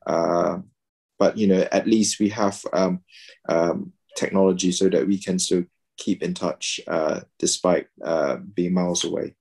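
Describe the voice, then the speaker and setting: A man in his thirties from Malaysia talks with a very low, deep voice. He pauses often and fills gaps with "uh" as he explains things on a video call from a room at home. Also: steady tone, vocal fry, darkish tone, husky. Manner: hesitant, many fillers and restarts. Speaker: guest, pediatrician and game player. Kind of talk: casual video-call interview.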